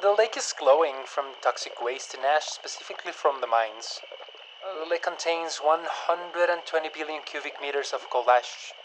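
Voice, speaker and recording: A man's voice: a little high, normal speed, slight background noise, lightly acted, low-quality recording. A man playing a guarded, pedantic scientist in a dystopian audio drama, speaking stiffly and precisely, as if lecturing. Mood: lecturing, stern.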